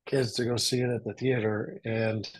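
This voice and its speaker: A man in his sixties talking casually on a podcast as a guest, in a measured, halting and reflective way. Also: medium pitch, flat delivery, low-quality recording. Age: 60s. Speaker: podcast guest and father of the host.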